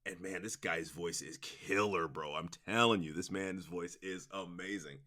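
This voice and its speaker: A man's voice: sits low, normal speed, sharp tone. A man speaking casually as an interview guest, rambling through stories with slangy asides. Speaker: interview guest, audio drama producer.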